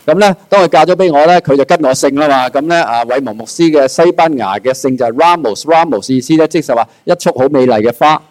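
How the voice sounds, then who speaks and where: A man interpreting a visiting preacher's sermon line by line in rapid, fluent bursts. He fills his speech with fillers and particles and moves quickly from one phrase to the next. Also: fairly high pitch. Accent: Cantonese. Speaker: church host and interpreter.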